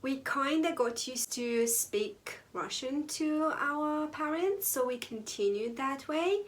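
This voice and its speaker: A young woman speaks slowly and a touch high, as she chats to her viewers. Her manner is playful and casual, with sudden bright exclamations and mock whispers. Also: lively intonation. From Azerbaijan. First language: Azeri. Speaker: vlogger. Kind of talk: casual personal storytelling to camera.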